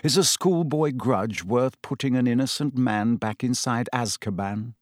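A man voicing an earnest, reasonable man who pleads urgently and softly in an audiobook. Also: mid-range voice, a little slow, no room sound, clean studio sound, lightly acted. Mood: soft reproach. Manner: urgent, soft-spoken pleading.

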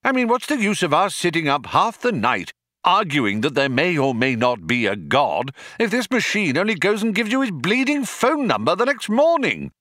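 A man's voice: high-pitched, huge pitch swings, moderate pace, a bit bright, no room sound, clean studio sound, pitched above the performer's own voice, heavily acted. A man voicing a pedantic, blustering older union philosopher with belligerent, indignant shop-steward talk.

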